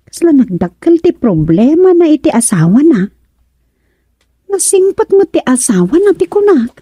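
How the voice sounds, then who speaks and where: Mellow, gossipy Ilocano villager. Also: female voice, high voice, very animated, normal speed. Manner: exclamatory, gossipy. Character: chatty, gossiping village woman, sympathetic busybody. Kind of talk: radio drama comedy acting.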